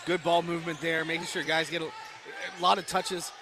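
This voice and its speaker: Man, fairly high voice, color commentator, coach-like analysis, gym broadcast, loud background noise. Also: fairly even intonation, normal speed. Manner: coaching-style analysis with small restarts. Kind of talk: game analysis.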